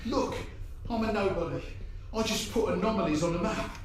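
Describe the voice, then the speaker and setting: Man acting a brash high-pitched small-time crook, echoey. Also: normal speed, close to an everyday voice. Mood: pleading, evasive. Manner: brash, foul-mouthed, defensive. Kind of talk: video game cutscene acting. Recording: echoey, distant, noisy game cutscene audio.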